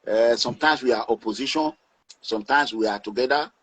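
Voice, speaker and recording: A Nigerian man speaking in a forceful, preaching way as he gives advice to his online audience. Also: mid-range voice, fairly quick.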